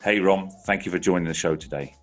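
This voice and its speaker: A very deep-voiced man hosting a podcast. He opens with a scripted intro and then asks his questions in a measured way. Faint music plays behind him. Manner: measured, brief backchannels. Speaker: podcast host.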